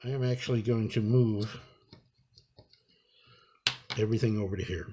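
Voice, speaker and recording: A man giving an improvised hands-on tutorial, talking unhurriedly and haltingly through each step as he works. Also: fairly low pitch, fairly even intonation, noisy background. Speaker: instructor demonstrating a repair.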